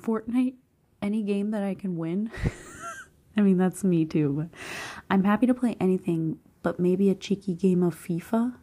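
A woman vlogging in a hushed half-whisper, slightly hoarse, reacting to quiz questions with bursts of excited disbelief. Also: mid-range voice, moderate pace. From Cuban family background. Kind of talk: casual chat, reading quiz questions aloud.

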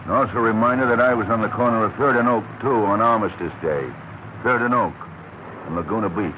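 An actor playing a menacing, smug fat villain in a classic radio crime drama, sneering and deliberate. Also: male voice, on the low side, lightly acted. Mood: smug threat. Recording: noisy, muffled vintage radio recording.

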